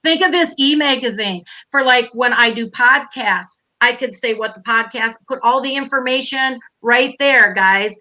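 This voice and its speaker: A woman in her fifties with a Minnesota accent, hosting a casual online chat and talking straight to viewers in a blunt, excitable way. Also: on the high side, normal speed, few pauses, low-quality recording. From Minnesota, USA. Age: 50s. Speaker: host.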